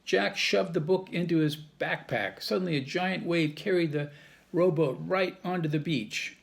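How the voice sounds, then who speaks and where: A man reading a children's chapter book aloud in a lively storytelling manner. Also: a little high, unhurried, gravelly. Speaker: staff reader.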